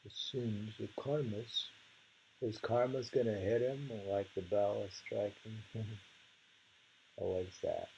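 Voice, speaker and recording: A man with a gravelly, husky voice reads poetry slowly and deliberately, lingering over phrases and adding informal commentary. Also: on the low side, a little mellow, muffled recording, slight background noise.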